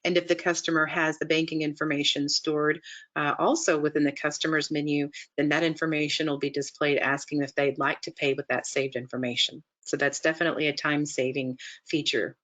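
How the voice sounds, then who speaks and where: A woman narrating an instructional software demonstration in a methodical, explanatory way, step by step. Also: low voice, level tone, brisk, lo-fi sound. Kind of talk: instructional screen-share walkthrough narration.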